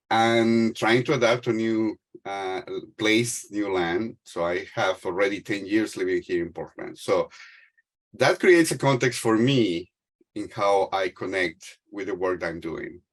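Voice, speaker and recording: A middle-aged man with a Mexican Spanish accent speaks in a lowish voice over a video call. He talks in a halting way, full of "uh"s and restarts. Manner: hesitant, many fillers and restarts. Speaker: panel speaker. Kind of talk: panel talk on a video call.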